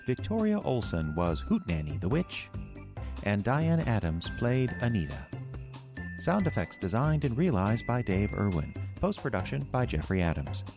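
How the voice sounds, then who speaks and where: A man's voice: fairly low pitch, sing-song, normal speed, music in the background. A man reading scripted closing credits as the announcer of a radio drama.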